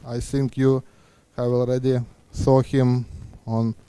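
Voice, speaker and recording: A middle-aged man hosting an interview, halting and often stumbling over his words. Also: mid-range voice, little pitch movement, warm tone, clear, resonant tone. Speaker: interviewer and host. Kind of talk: live interview chat. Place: indoor studio, seated on a sofa. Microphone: handheld microphone.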